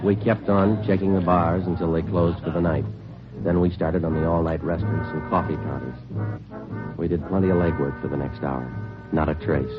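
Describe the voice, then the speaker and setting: An American man acting a terse, weary police sergeant who narrates a vintage radio crime drama in a matter-of-fact deadpan. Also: low-pitched, normal speed, natural voice. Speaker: lead actor and narrator. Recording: muffled old radio recording, music behind.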